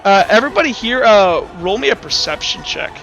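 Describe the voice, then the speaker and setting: A man narrating a tabletop roleplaying game as game master, in halting, improvised, stop-start phrases. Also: sits high, expressive, a bit bright, low-quality recording.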